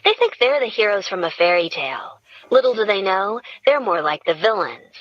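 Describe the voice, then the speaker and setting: A woman's voice: medium pitch, animated, moderate pace, dry recording, lo-fi sound, close to an everyday voice. A woman voicing a brash, sardonic young woman with a cheerfully acerbic way of griping.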